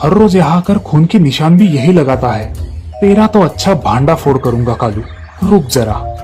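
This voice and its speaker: Man playing village father, woodcutter, earnest, righteous, raised pitch, storybook tale, music behind. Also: lively intonation, moderate pace, dark tone, lightly acted. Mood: indignant.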